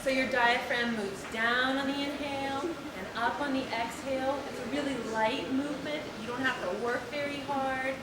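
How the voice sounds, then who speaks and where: A woman giving a lively presentation on posture and breathing to a live audience in a meeting room, guiding them through movements. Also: sits high, moderate pace, few pauses, a loud crowd, poor audio. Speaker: posture and breathing teacher giving a workplace talk.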